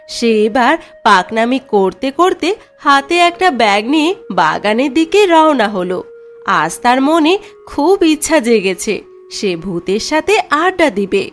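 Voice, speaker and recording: A woman's voice: sits high, slight background noise. A woman narrating a scripted cartoon story as its storyteller.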